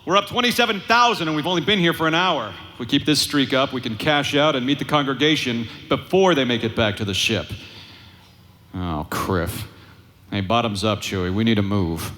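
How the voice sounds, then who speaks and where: An actor in a live audio drama playing a cocky, sarcastic smuggler pilot who swaggers and wisecracks. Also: male voice, mid-range voice, moderate pace, pushed and bright, natural voice. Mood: boastful, hurried.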